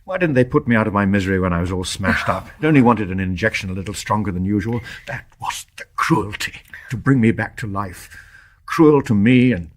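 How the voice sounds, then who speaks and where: An invalid husband in a British radio play, with a low, husky voice and upper-class Received Pronunciation. He speaks earnestly and devotedly, brave on the surface with despair beneath. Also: expressive, brisk. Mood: bitter despair. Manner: earnest, old-fashioned upper-class phrasing. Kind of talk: radio drama acting.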